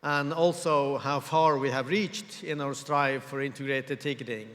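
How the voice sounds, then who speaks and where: A man from Norway gives a formal conference presentation in English, measured and pausing often to find his words. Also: mid-range voice, fairly even intonation, fairly bright tone. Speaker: conference speaker. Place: large conference hall. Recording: reverberant hall with long echo.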